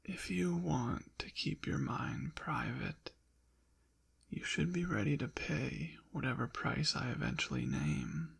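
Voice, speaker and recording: A man voicing a haughty, possessive ancient demon in an intimate ASMR roleplay, with formal, deliberate diction and a breathy, gravelly whisper. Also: medium pitch, very animated, a bit bright, exaggerated voice. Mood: teasing, possessive. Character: possessive ancient male demon, haughty, teasing, quietly softening. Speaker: audio roleplay performer.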